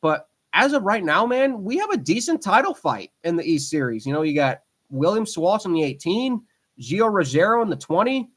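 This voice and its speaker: A man in his twenties hosts a casual podcast by himself. His voice sits a little on the high side. He rambles with plenty of ums and restarts, and he talks with a fan's eager enthusiasm. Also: sing-song, moderate pace, a bit bright. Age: 20s. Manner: rambling, frequent fillers and restarts; enthusiastic fan talk. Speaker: podcast host. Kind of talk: casual solo podcast chat.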